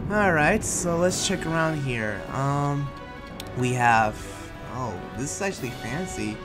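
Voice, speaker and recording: A man's voice: on the high side, animated, a little slow, music in the background. A man speaking casually as a gaming host, improvising a roleplay as he plays.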